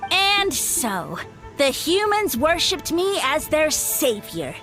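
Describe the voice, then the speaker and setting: High-pitched pompous mushroom creature. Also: female voice, big pitch swings, takes it slowly, sharp tone, full character voice. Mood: haughty, grand. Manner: grandiose, haughty, theatrical speech. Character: pompous, boastful little mushroom creature posing as a mighty lord. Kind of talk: scripted video game voice acting. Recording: music behind the voice.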